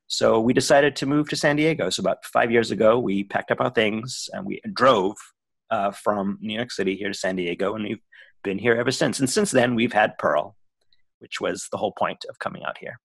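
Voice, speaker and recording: A man's voice: lowish voice, normal speed, gravelly, no echo. A man in his forties talking as a guest over a video call from home, telling his life story chattily with many "you know" and "um" fillers. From born in Switzerland, raised in South Africa, Israel and the US. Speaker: guest, actor and writer. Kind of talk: casual interview. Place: home room with bookshelves.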